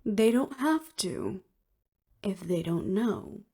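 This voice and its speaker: A woman's voice: medium pitch, animated, slow pace, creaky, mellow, husky, full character voice. A woman playing a cool, determined woman official in an audio roleplay, with clipped, businesslike delivery. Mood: firm, resolute. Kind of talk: scripted audio roleplay acting.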